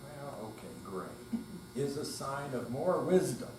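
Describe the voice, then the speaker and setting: A man's voice: mid-range voice, lively intonation, slow pace, a little mellow. An older man giving a dramatic stage reading as an amateur readers theater performer. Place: senior center hall, indoor live performance. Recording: distant, echoey room with faint mains hum.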